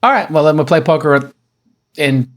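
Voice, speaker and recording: A man's voice: fairly high pitch, expressive. A man hosting a casual podcast chat, joking, pushy and swearing freely. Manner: fast, profane, joking, pushy. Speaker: podcast host. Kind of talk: casual banter.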